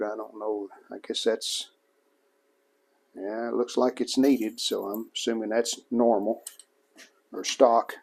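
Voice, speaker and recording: A man with a fairly low voice talks through hands-on repair work in a casual, plain-spoken way. His pace is very slow and unhurried, as if thinking aloud while he works. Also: vocal fry, darkish tone. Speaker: hobbyist restorer presenting a repair video.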